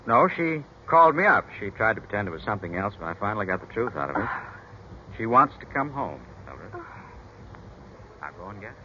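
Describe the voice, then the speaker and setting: Gentle, low-voiced ex-husband. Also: male voice, sing-song, a little fast, long pauses. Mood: tender. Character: gentle, hesitant, loyal ex-husband. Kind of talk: 1940s radio drama acting. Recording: dull, noisy 1940s radio recording.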